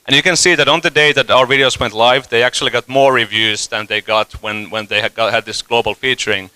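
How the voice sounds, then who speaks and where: Bright, crisp man on stage. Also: medium pitch, steady tone, a little fast, no room sound, low-quality recording. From Finland. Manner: casual, loose and joking, many fillers and restarts. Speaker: conference speaker, company CEO. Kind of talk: conference talk. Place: conference hall stage.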